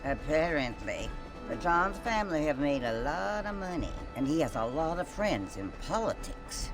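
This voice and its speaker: An actress playing a genteel, self-satisfied older society matron in a western video game, prim and agreeable. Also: female voice, low voice, big pitch swings, moderate pace, over music. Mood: genteel, smug.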